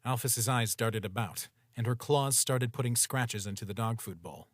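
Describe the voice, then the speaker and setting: Guy narrating a comic story, steady and even, mid voice, dry close sound. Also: medium pitch, moderate pace, dry recording.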